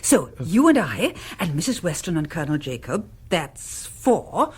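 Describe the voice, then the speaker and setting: A woman's voice: low-pitched, big pitch swings, a little slow, husky, full character voice. A woman acting a bossy, vivacious middle-aged English society lady in a radio comedy, commanding, playful and theatrical. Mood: bossy, brilliant.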